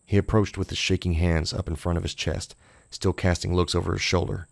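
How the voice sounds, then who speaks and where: Very deep, gravelly man narrating horror. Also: little pitch movement, a little fast, dry recording. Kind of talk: scripted horror audiobook narration.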